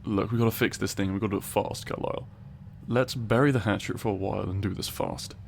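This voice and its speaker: A man's voice: fairly low pitch, steady tone, fast talker, gravelly, a little hiss or hum. A male voice actor playing a grumpy, superior lab scientist in an ASMR audio roleplay, dry and curt. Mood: exasperated.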